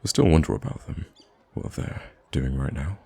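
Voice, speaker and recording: Man acting a very deep, gravelly, gentle prince. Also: expressive, a little hiss or hum, exaggerated voice. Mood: wistful. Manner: hesitant, gentle, halting with sighs and stammers. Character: soft-spoken wounded young prince, wistful, gracious, secretly roaming a market. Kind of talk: ASMR roleplay acting.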